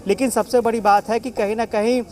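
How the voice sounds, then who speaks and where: A man's voice: sits high, fairly even intonation, fairly quick, darkish tone. A man giving an unscripted, explanatory live political report as a news correspondent.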